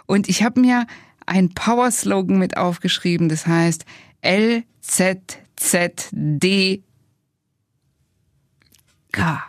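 A woman's voice: on the low side, slow, pauses often, dry recording, clean studio sound. A woman hosting a conversational podcast interview in an effusive, complimentary manner. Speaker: podcast host.

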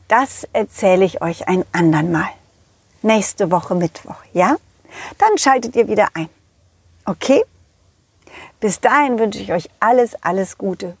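A woman voicing a confident, loyal, kindly dog who tells his adventures to children in a chatty, halting, empathetic way. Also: medium pitch, a little mellow, slightly rough, dry recording. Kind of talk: children's audio play storytelling.

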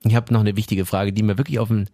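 A man hosting a casual interview, playful and halting with many fillers. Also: fairly low pitch, level tone, gravelly, warm tone, dry recording, studio quality. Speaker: podcast host.

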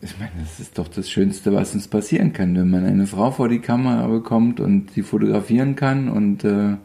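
A man in his fifties with a very low, mellow voice talks as a photographer in an interview. He rambles with wry, teasing humor and frequent hesitations. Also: moderate pace, gravelly. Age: 50s. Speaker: photographer guest. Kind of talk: casual interview.

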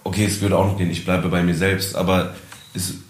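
A man's voice: sits low, level tone, a little fast. A man who is a musician guest, talking in a casual, reflective way and laughing easily. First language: German. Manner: reflective, laughs easily, mixes in English words. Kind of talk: casual interview chat. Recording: echoey room, faint noise.

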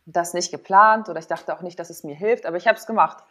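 A woman in her thirties with a slightly low voice speaks as a guest in a casual interview. She is candid and informal, thinking aloud and voicing her own past thoughts as she tells personal stories. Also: fast, pushed and bright. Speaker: interview guest, former athlete and sports psychologist.

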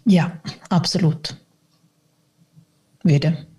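Slow, husky woman. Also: low-pitched, fairly even intonation, warm tone. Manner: open, reflective, self-mocking. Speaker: guest. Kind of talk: candid personal interview talk.

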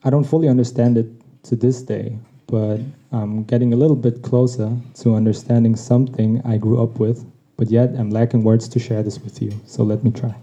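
A man whose mother tongue is Tagalog, reading a personal essay on his podcast in a reflective, measured way. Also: mid-range voice, little pitch movement, mellow. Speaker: podcast host. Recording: dull lo-fi recording.

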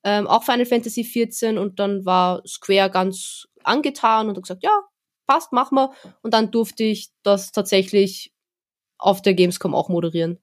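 Chatty young woman, relaxed and easygoing. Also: medium pitch, normal speed. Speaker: guest, event presenter. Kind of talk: casual interview talk.

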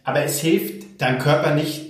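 Podcast co-host with a cold, a bit higher voice, set back from the mic in a very echoey room. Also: male voice, steady tone, normal speed, far from the mic, soft background music. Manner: explanatory, teasing. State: has a cold, sore throat. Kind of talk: casual chat.

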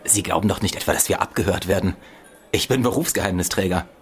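Inquisitive low-pitched reporter played by a man. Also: quick pace, close to an everyday voice. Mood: wry, sceptical. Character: inquisitive male journalist, sceptical and wry. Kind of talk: dialogue in a political radio play.

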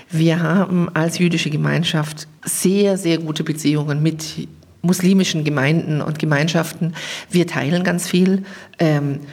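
A woman's voice: deep voice, creaky, breathy edge. A woman speaking as an interview guest, explaining her views in German. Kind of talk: spoken interview answers, explanatory.

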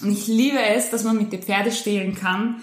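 A woman's voice: medium pitch, fairly even intonation, normal speed, reverberant. A woman, co-hosting a podcast, talking in long rambling sentences. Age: 20s. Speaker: podcast co-host. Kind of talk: casual chat.

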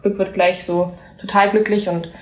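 A young woman, a singer-songwriter, chatting in an interview, lively and full of fillers and restarts. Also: medium pitch, normal speed, roomy sound, rough recording. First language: German. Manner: animated, many fillers and restarts, laughs easily. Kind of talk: casual interview chat.

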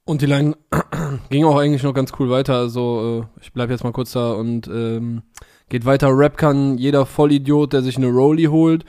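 A man's voice: medium pitch, level tone, moderate pace, dark tone, smooth. Male co-host, mid-range voice, wisecracking, loose delivery with fillers. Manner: joking, rambling, with many fillers. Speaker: podcast co-host. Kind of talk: casual music review chat.

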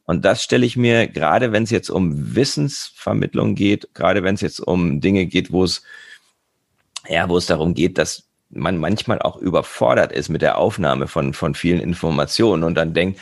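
Man, interviewer, gravelly, attentive, long questions. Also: low-pitched, normal speed, no room sound, studio quality. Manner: attentive, frequent affirming murmurs, thoughtful rambling questions. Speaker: podcast host, interviewer. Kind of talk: casual conversational interview.

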